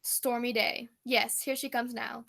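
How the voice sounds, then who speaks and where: A woman's voice: fairly high pitch, little pitch movement, measured pace, creaky, heavy background noise. A woman playing an earnest, overblown female news reporter in a comic radio play. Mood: portentous. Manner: portentous, overblown.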